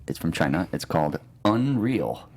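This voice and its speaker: A man hosting a relaxed quiz on a podcast, joking and rambling with lots of filler words. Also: low voice, moderate pace. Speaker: host running a movie title quiz. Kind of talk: casual podcast banter.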